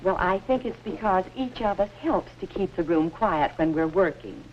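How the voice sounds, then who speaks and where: A woman's voice: fairly low pitch, animated, a little hiss or hum, close to an everyday voice. A woman playing a patient 1950s schoolteacher in an educational film, phrasing things carefully and instructively, on a muffled old soundtrack. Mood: patient explaining. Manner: measured, didactic, carefully phrased. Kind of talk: scripted educational film acting.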